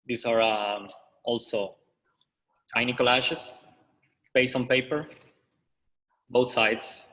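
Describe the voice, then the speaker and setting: Argentine man, halting English, bright echoey voice. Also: mid-range voice, steady tone. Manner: halting non-native English, frequent fillers and restarts. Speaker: artist leading a workshop. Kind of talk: live online demonstration.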